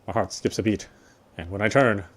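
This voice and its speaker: A man streaming a game, reading its text aloud as measured, dramatic narration with occasional stumbles. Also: fairly low pitch, a bit bright, a little hiss or hum. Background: game music.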